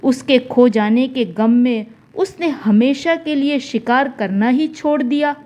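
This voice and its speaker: A woman narrating a scripted moral tale in a measured, deliberate storytelling manner. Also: high-pitched, dark tone, lo-fi sound.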